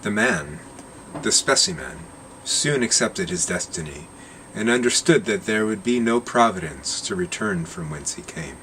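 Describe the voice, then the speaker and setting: A man with a deep, low voice reads a short story aloud. His delivery is slow and measured, and he lingers over each phrase. A faint hum of air conditioning sits behind him. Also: animated, pushed and bright, rough recording. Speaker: narrator reading his own short story.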